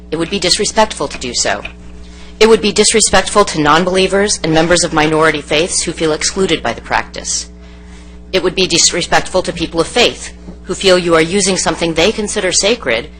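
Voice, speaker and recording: A woman giving a prepared, formal public comment in a measured, articulate and firm manner. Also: on the low side, fairly bright tone, low-quality recording. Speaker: advocacy group leader giving public comment. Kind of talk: prepared formal speech read aloud. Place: council meeting chamber.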